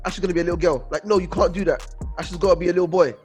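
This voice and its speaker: A man's voice: high voice, fairly even intonation, rapid-fire, a little mellow, soft background music. A British man co-hosting a podcast, giving emphatic, opinionated takes in casual group chat. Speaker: podcast co-host. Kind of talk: casual group debate about films.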